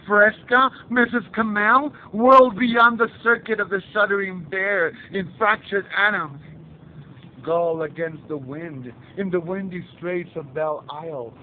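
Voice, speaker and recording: A man reciting a poem in a dramatic, theatrical, declamatory way, in halting phrases that swell in force. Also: high voice, narrow, tinny audio, slight background noise.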